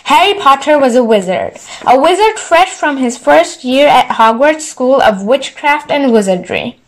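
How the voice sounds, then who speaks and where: A woman's voice: high-pitched, animated, a little slow, low-quality recording. A woman storyteller narrating a bedtime story, reading it aloud from a book. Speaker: storyteller, channel host.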